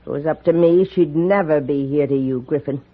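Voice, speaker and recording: A woman's voice: deep voice, brisk. An actress playing a hostile old farm mother in a radio western, curt, rustic and scolding. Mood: bitter, hostile. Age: older. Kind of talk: 1950s radio western acting. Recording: tinny, noisy old radio recording.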